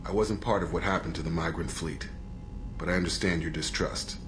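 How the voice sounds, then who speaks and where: An actor voicing a polished male corporate agent who speaks smoothly and courteously, in a game cutscene. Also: deep voice, steady tone, fairly quick, lightly acted. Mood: diplomatic. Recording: game audio with ambient noise.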